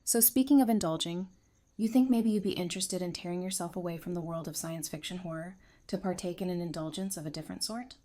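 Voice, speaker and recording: A woman's voice: fairly low pitch, quick pace, dark tone, natural voice. A woman playing a frank, teasing, friendly nerd in an audio drama, with wry conversational delivery. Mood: playful, teasing. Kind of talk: fan audio drama acting.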